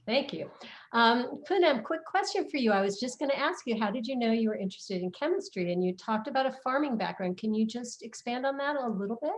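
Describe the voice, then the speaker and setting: A woman's voice: fairly high pitch, lively intonation, brisk. A woman moderating an online panel in a conversational, encouraging way over a video call.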